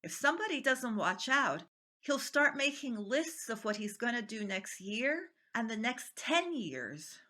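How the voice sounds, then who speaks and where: A woman's voice: a little high, very animated, a little slow, bright, forceful tone, exaggerated voice. A woman performing a stage monologue as a feisty, nonconformist out-of-work writer, talking in an emphatic, impassioned way. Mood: wry, exasperated. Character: unemployed nonconformist writer pleading to keep beloved nephew, feisty. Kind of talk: dramatic stage monologue reading.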